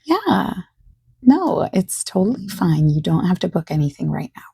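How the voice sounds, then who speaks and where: A woman speaks softly and close in a very dry recording, her husky voice low and mellow. She plays a friendly salesperson in an ASMR roleplay, gently over-explaining in an unhurried, reassuring way. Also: sing-song, no echo. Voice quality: soft, husky, creaky. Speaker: ASMR creator playing a friendly phone salesperson. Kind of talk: soft-spoken ASMR roleplay, improvised one-sided phone sales call.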